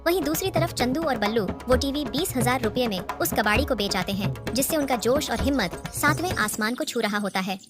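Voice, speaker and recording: A woman's voice: fairly high pitch, animated, brisk, few pauses. A woman storyteller reading scripted narration for a cartoon tale. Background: loud music.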